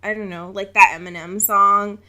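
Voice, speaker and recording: A woman's voice: mid-range voice, steady tone, normal speed, bright, forceful tone, muffled recording. A young woman co-hosting a podcast in casual comedic chat, poking fun at herself with playful drama. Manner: self-mocking, playfully dramatic. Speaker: podcast co-host.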